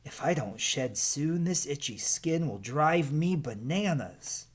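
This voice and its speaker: A man's voice: on the high side, expressive, takes it slowly, breathy edge, voice pushed up, full character voice. A man voicing a fussy old female snake in a storybook, with a whining, chiding manner.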